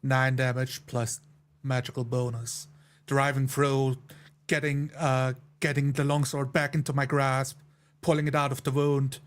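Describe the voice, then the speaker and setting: A man's voice: medium pitch, flat delivery, unhurried. A man playing a tabletop roleplay game, describing his character's actions.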